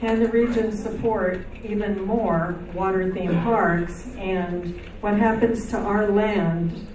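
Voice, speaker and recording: A soft-spoken woman moderating a civic meeting, reading audience questions aloud. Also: mid-range voice, fairly even intonation, slow. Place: meeting hall. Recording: echoey hall, distant mic, noisy.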